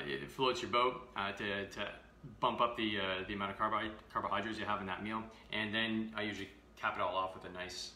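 A man in his thirties walks through a recipe in a relaxed live-stream style, full of "uh" and "you know." His voice sits fairly low yet sounds bright and forceful. The home kitchen around him leaves a roomy echo on a camera mic set a few feet back. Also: a little hiss or hum. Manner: chatty, filler-heavy, upbeat. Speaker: fitness vlogger. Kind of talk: casual live-stream demo. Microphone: camera mic from a few feet away.

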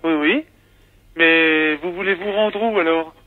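A man's voice: fairly high pitch, a little slow, a little hiss or hum. A man on a telephone line, a police officer patiently and insistently explaining and asking questions. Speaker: gendarme on phone duty. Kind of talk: official phone conversation. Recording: dull phone line.

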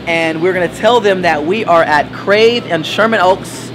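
A man's voice: high voice, moderate pace, rough recording. A young man in his twenties hosting a casual vlog in a restaurant, upbeat and hyped as he talks to his fans. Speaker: vlog host. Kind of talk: casual vlog talk to camera. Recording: loud music behind.

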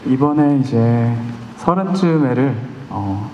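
Man around 30, singer, soft-spoken stage chat, unhurried, handheld mic, echoing concert hall. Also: mid-range voice, animated, lo-fi sound, slight background noise. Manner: soft-spoken, shy and playful with the audience. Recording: concert hall with long reverb, music behind.

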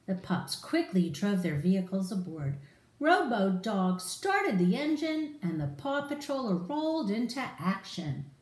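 A middle-aged woman reading a picture book aloud to children in an expressive, direct way. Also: medium pitch, a little slow, reverberant. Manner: animated, addressing children directly. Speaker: storyteller for children. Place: room in a home, indoors.

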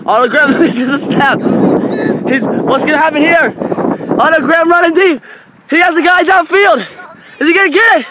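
A teenage boy playing an excited male play-by-play announcer calling a game. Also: very high-pitched, heavy background noise. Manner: rapid play-by-play, rising excitement.

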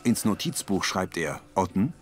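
A man's voice: low-pitched, sing-song. Male narrator over music. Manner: measured, neutral. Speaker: audio description narrator. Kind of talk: scripted narration.

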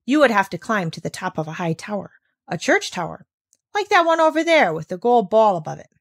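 A woman's voice: medium pitch, sing-song, fairly quick, dry recording, clean studio sound. A woman reading a storybook as a curt, practical male household servant. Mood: matter-of-fact. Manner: curt, matter-of-fact.

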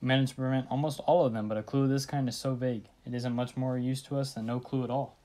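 Woman acting very deep-voiced, dismissive police sergeant. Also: steady tone, quick pace, dark tone. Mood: placating. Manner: brusque, placating, weary. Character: bored, dismissive New York police desk sergeant. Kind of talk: old radio thriller acting.

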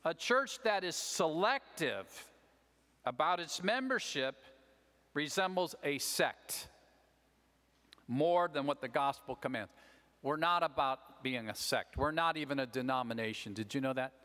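A man's voice: a little high, lively intonation, a little slow, sharp tone. An American man in his sixties giving a homily in a conversational way, often checking in with "right?". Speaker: deacon preaching. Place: large church, at the ambo. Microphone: gooseneck lectern microphone. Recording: long church reverb over PA.

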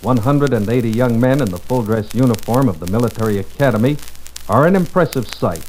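An American man giving formal, measured live commentary as a 1950s radio announcer. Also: mid-range voice, animated, a little mellow. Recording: noisy old 1950s acetate radio recording.

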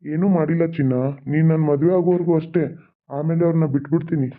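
A man's voice: on the high side, steady tone, fast, natural voice. A man voicing a scheming adult male village villain who mocks and threatens. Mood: threatening. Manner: sneering, mocking, menacing. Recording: tinny phone-like sound.

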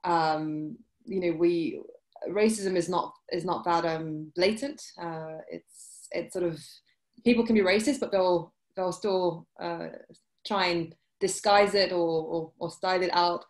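A woman in her forties with a British accent, answering interview questions over a video call, halting with many restarts. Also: fairly low pitch, steady tone. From UK and France. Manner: hesitant, many restarts and fillers. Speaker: guest, journalist. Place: indoor room, video call. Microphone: laptop webcam mic.

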